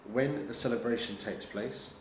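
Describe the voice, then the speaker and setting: A man acting as examiner in a mock speaking test, asking questions calmly and reading out instructions in a measured way. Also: mid-range voice. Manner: calm, reassuring, measured questioning. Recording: roomy echo with background noise.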